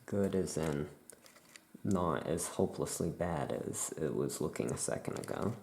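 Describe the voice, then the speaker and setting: Really slow soft asmr host, heavy vocal fry, kinda musing and self-deprecating, bit of noise behind. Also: extremely low pitch, animated. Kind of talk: soft-spoken ASMR, chatting while painting.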